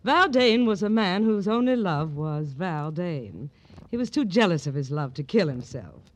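A woman's voice: fairly low pitch, very animated. An actress playing a sultry, cold woman who relishes cruelty, speaking in an arch, drawling manner in a late-1940s crime radio drama. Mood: arch, certain. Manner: slow, drawling, arch and affected.

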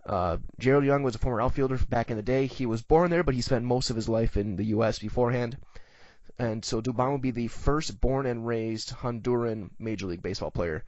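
A man's voice: mid-range voice, fairly quick, a little mellow, dry recording, lo-fi sound. A man with an American accent hosting a sports show, talking through the news in a conversational commentary style. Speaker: sports podcast host.

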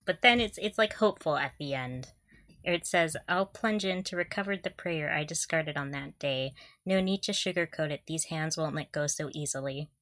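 A woman's voice: low-pitched, normal speed, fairly bright tone, no room sound. A woman co-hosting a podcast, chatting casually and enthusiastically as a fan, with a giggly manner. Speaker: podcast co-host.

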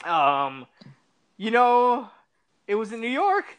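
Male co-host, high-pitched for a man, blunt and profane, relaxed joking movie banter. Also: slow pace, clean tone. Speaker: podcast co-host. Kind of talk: casual joking movie chat.

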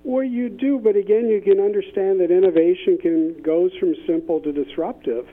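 A man's voice: high voice, normal speed. Man on a tinny phone line. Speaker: interview guest, business innovation consultant. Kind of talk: conversational interview explanation.

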